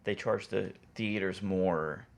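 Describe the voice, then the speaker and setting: A man's voice: sits low, takes it slowly, vocal fry. A man chatting casually as a podcast co-host, giving opinions in an explanatory way. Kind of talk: casual chat.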